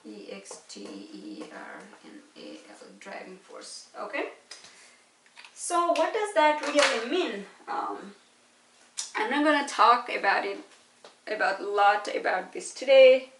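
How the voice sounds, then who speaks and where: A woman's voice: a little high, very animated, a little slow, background noise. A woman from Nepal with a Nepali accent giving an informal physics lecture, explaining through questions and frequent little checks for understanding. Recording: echoey room, distant mic.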